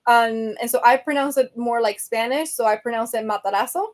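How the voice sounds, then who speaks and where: A woman's voice: fairly high pitch, fairly quick. A woman from Venezuela hosting a book podcast, chatting casually on a live stream and answering viewer comments, rambling with many fillers and self-corrections.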